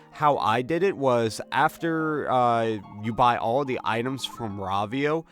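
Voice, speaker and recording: Man, animated pitch swings, casual gaming host, jokey and conversational, music behind. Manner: jokey, conversational, slips into mock dialogue. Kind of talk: casual game walkthrough commentary.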